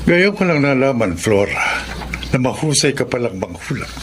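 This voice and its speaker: A man plays a loyal, discreet attorney in a radio drama. He speaks deliberately and respectfully at a middling pitch, over faint background noise. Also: very animated, natural voice. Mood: guarded. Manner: measured, deliberate, polite. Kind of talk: Filipino radio drama acting.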